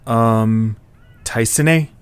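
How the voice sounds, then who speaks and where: A man's voice: mid-range voice, very slow, slight background noise. A man acting an earnest, encouraging young male character in a scripted audio story, speaking conversationally. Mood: guilty, hesitant. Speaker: narrator and voice of the main character.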